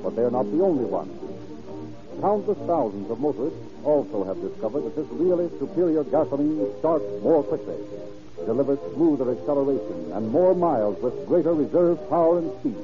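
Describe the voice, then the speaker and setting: A man's voice: mid-range voice, expressive, a little fast, lo-fi sound, music in the background. An American man working as a 1930s radio announcer, reading scripted narration in a formal, declamatory way. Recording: old 1930s radio broadcast recording.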